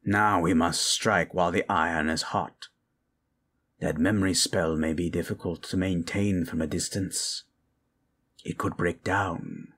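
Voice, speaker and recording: Man playing a deep, slow, solemn ancient lich. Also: fairly even intonation, fairly bright tone, heavily acted. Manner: solemn, formal, inverted phrasing. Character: grave ancient male lich, stern and scheming mentor. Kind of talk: fantasy audio roleplay, improvised.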